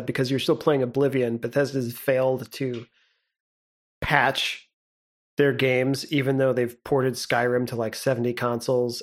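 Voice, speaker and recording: A young man co-hosting a podcast in casual chat, relaxed and rambling, laughing often. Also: mid-range voice. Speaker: podcast co-host.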